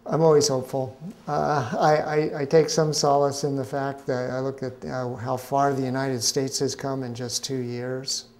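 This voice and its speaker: A middle-aged man, a scientist being interviewed at a conference pavilion, explaining in a measured way with frequent hesitations. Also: mid-range voice, little pitch movement, a little mellow. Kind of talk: interview answers.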